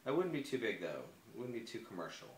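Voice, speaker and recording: A man in his forties being interviewed, talking in a hesitant, soft-spoken way with many fillers and restarts. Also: on the low side, sing-song, fast. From United States. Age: 40s. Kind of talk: personal interview. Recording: echoey room, faint ambient noise.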